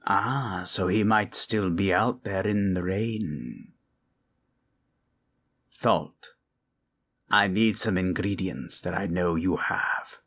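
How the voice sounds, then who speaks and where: A grave sorcerer, played by a man, speaks very low and slowly, with a husky rasp. He is solemn and commanding, a dark figure turned to good in a fantasy ASMR roleplay. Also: full character voice. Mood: grave, probing.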